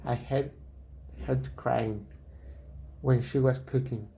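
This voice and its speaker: A steady, protective hunter of beasts, acted by a man. His voice is dark and mid-pitched, and he speaks in a consoling, matter-of-fact way, as in an amateur radio play. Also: slow, creaky, a little hiss or hum. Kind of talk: amateur radio drama acting.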